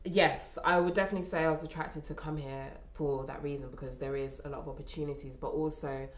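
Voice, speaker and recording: A woman's voice: low voice, steady tone, fast. A woman with a London accent speaking candidly in an interview. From Streatham, southwest London, England. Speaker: actor being interviewed. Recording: roomy echo.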